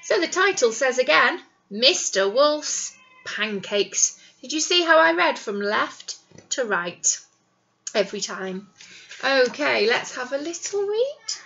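Very lively, high-pitched woman teacher. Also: slow pace, vocal fry, lo-fi sound. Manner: animated, explanatory, asks the children questions. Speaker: primary school teacher. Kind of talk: reading a picture book aloud to young children. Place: small indoor classroom. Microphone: webcam or device at arm's length.

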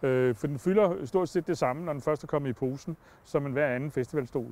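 An older man giving a plain, measured product review on a campsite lawn, speaking into a lapel mic. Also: fairly high pitch, a little mellow. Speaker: product tester.

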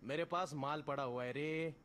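A man's voice: on the high side, steady tone, normal speed, a bit bright, slight background noise, natural voice. A man plays a brash, street-smart gamer from a game voice pack. He throws out battle callouts in a Mumbai accent, with swaggering, exaggerated slang. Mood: brash, urgent. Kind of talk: game voice pack one-liners.

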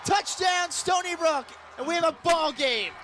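A man doing live radio play-by-play of football, excitable and stretching his words on big plays. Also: extremely high pitch, normal speed, a faint crowd, a little hiss or hum. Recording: live radio sports broadcast.

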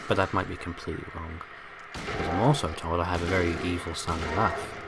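Bassy man playing a game. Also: fairly even intonation, fast talker, heavy background noise. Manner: joking, hums and sings to himself, loud bursts. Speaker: let's play host. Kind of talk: casual gameplay commentary.

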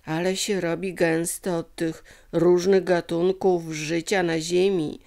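A woman's voice: on the low side, little pitch movement, slow, vocal fry, darkish tone. A woman voicing a dreamy male professor in a children's story, exclaiming in wonder and stretching out words. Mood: entranced. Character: dreamy male professor, awestruck by visions of creation.

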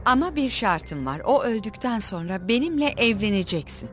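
An actress playing a proud, scheming noblewoman in an animated film, imperious and intense. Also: mid-range voice, moderate pace, faint music behind.

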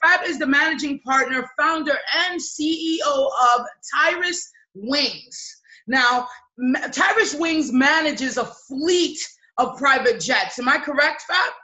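A high, bright-voiced woman hosting an interview over a webcam from her office. She opens with a showy introduction and speaks with lively, gesturing energy. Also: slow pace, low-quality recording. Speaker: interview host. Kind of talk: hosted interview on a video call. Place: office with whiteboards. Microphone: laptop webcam on a video call.

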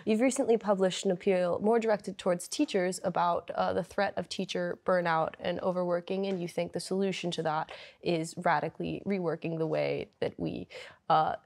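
A young woman with a slightly low voice acts as a student co-host in a panel talk. She phrases her questions carefully and at length, sounding articulate and composed. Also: fairly even intonation, normal speed, vocal fry, darkish tone. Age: young adult. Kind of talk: panel discussion. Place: indoor library room with bookshelves.

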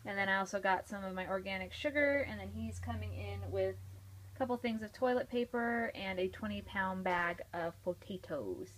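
A woman in her thirties with an American accent, chatting casually and rambling a little as she vlogs. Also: mid-range voice. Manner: informal, conversational, rambling. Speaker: vlogger. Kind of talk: casual vlog chat. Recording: clearly noisy phone video sound.

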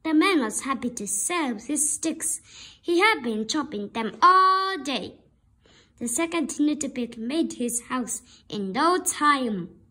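A woman reading a children's story aloud with deliberate, expressive narration. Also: high voice. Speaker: storybook reader.

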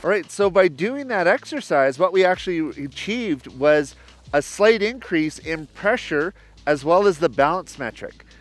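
A man's voice: fairly high pitch, lively intonation, measured pace. A man giving step-by-step ski instruction outdoors, explaining a demonstration to camera. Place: outdoors on an open snowy mountain ski slope.

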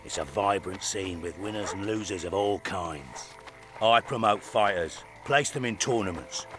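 An actor playing a boastful, scheming fight promoter in a video game, with a grandiose way of talking. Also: male voice, low voice, expressive, normal speed, fairly bright tone, soft background music. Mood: sly, pitching. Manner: grandiose, speaks of himself in third person.

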